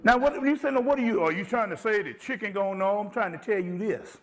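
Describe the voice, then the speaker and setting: A man with a high-pitched voice speaks as an informal lecturer on spiritual matters. He is emphatic and conversational, talking in plain, salty language and regularly checking that listeners are following him. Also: fast talker, a bit bright.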